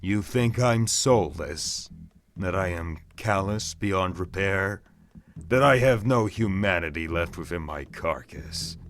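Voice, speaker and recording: A man voicing a grim, ruthless, zealous inquisitor in a far-future horror tale, with slow, contemptuous, theatrical menace. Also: deep voice, animated, fairly bright tone, heavily acted. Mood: bitter, challenging. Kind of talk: dramatic monologue in audio horror fiction.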